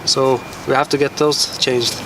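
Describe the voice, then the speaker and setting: A man's voice: mid-range voice, flat delivery, measured pace. A man giving casual running commentary as he repairs his car outdoors, swearing freely and talking to himself. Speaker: vlogger doing car repairs. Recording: loud outdoor noise.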